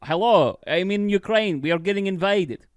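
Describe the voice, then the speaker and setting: A man putting on a comic Eastern European character, hammy and raised in pitch. The voice stays smooth and close. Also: normal speed, no echo, close to an everyday voice. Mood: mock grave. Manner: hammy, playful.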